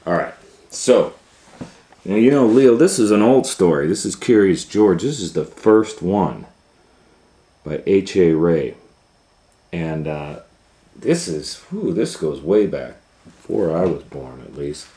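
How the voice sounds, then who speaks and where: A man's voice: deep voice, very animated, takes it slowly, long pauses, warm tone. A man hosting storytime, telling a children's story informally with hesitant, conversational asides. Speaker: storytime host and reader.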